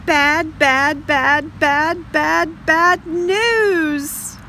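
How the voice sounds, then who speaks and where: A woman reading picture books aloud to young children, explaining directly to her listeners with expressive delivery. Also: high-pitched, very slow, a little hiss or hum. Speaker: storybook reader for children. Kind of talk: read-aloud picture book narration.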